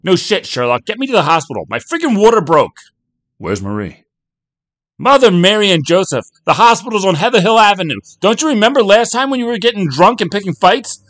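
A shrieking, furious wife yells with a high, wildly swinging voice, as a male narrator puts her on. Every line comes out in a sharp, accusing shout. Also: very animated, brisk, no room sound. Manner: screeching, furious. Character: shrill angry working-class wife.

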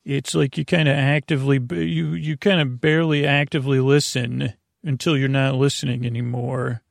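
A man hosting a bedtime podcast, rambling and halting as he loses his thread, with gentle, silly deadpan humor. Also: medium pitch, gravelly, dry recording, clean studio sound. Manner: meandering, halting, often losing his thread. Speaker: podcast host.

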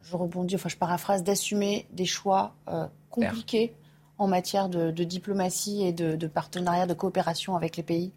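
A woman's voice: on the low side, fairly even intonation, moderate pace, warm tone, husky. A woman hosting a televised news debate, cutting in with short prompts and rephrased questions. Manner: brief interjections and rephrasing questions. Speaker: news talk show host.

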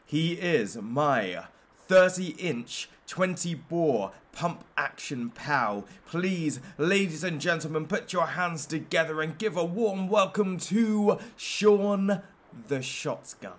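An actor playing a menacing, sardonic talk show host in a satirical audio drama, with a theatrical, taunting manner. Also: male voice, on the high side, lively intonation, takes it slowly, hardly any pauses. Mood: grand showmanship.

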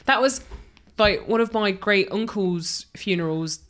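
A woman's voice: mid-range voice, unhurried. A young woman co-hosting a casual chat podcast, telling funny stories with frequent laughter. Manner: storytelling, giggly, animated asides. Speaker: podcast co-host. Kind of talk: casual pub-style chat.

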